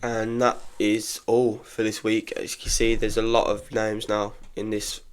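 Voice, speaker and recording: A man's voice: lowish voice, completely flat delivery, measured pace, clean tone. A congested young man giving frank, chatty opinions in a casual solo football review, full of restarts. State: congested from illness. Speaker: football podcaster.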